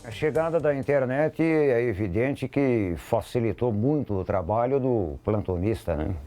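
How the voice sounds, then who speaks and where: A man's voice: on the low side, mellow. A Brazilian man, a radio sports reporter, casually recalling his work in an interview.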